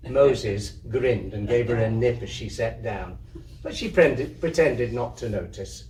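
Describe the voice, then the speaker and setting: A man giving an expressive live reading of literary fiction. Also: medium pitch, unhurried. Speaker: reader, amateur theatre troupe member. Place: indoor community hub event. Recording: roomy, distant mic, faint noise.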